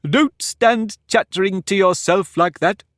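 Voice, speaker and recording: A man's voice: high voice, a little slow, fairly bright tone, no echo, clean studio sound, voice pushed up. A man voicing a pompous, touchy talking egg in a storybook reading, boastful and argumentative. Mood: haughty. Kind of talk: audiobook character reading.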